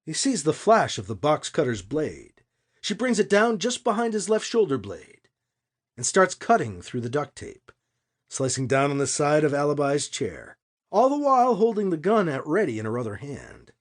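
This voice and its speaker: A man's voice: fairly high pitch, big pitch swings, moderate pace. A man reading fiction aloud as an audiobook narrator, in a dramatic scripted storytelling style.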